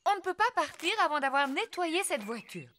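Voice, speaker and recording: A woman voicing a kind, sensible mummy pig in a children's cartoon, motherly and gently chiding. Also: sits high, sing-song, brisk, heavily acted. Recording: music behind the voice.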